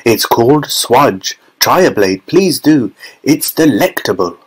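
A man's voice: medium pitch, animated, slow pace, breathy edge. Storybook factory owner, acted by a man: exuberant male showman, effusive, emphatic, proud and theatrical. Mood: eager, delighted. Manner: effusive, emphatic, relishing his words.

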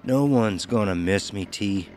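Low-voiced, haunted, resolute working man. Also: measured pace, a little mellow. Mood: intense, resolute. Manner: terse, folksy, clipped phrases. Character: Elmer Gage, haunted, resolute working man on a grim errand. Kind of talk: horror audio drama acting.

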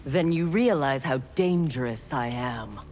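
A woman's voice: a bass voice, lively intonation, a little slow, background noise. A woman voicing a proud, ruthless young female ninja warrior in a video game, speaking in a cold, haughty, clipped way.

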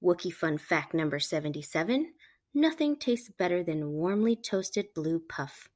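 A woman reading a picture book aloud to children, with animated, emphatic storytelling delivery. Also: on the low side, unhurried. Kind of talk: expressive read-aloud narration for children.

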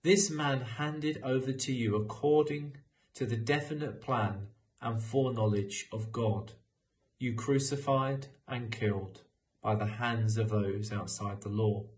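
A young man reading scripture in a measured, deliberate way, recorded in a room at home. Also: mid-range voice, fairly even intonation. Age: young adult.

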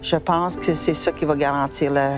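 Woman, Canadian French accent, very low voice, documentary interview, music behind. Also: fairly even intonation, moderate pace.

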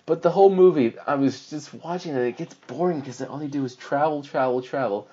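A man's voice: on the high side, a little fast, a little hiss or hum. A man co-hosting a film podcast, rambling casually through a movie recap. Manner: rambling, animated, slips into silly put-on voices for characters. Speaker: podcast co-host reviewing films. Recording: dull lo-fi podcast audio.

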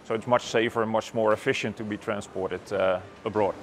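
Man, Dutch accent, middle-aged, lowish voice, halting with fillers, light background noise. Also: fairly even intonation. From Netherlands. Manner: hesitant, many uh fillers and restarts. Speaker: company executive. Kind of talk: factory tour interview. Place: warehouse then glass-walled office.

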